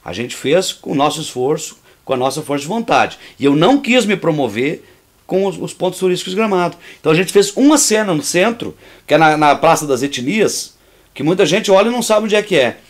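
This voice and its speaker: Outspoken filmmaker man, raised pitch. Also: animated, a little fast. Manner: outspoken, opinionated, indignant; restarts and repeats words while talking freely. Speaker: filmmaker and actor. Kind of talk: commentary over a making-of.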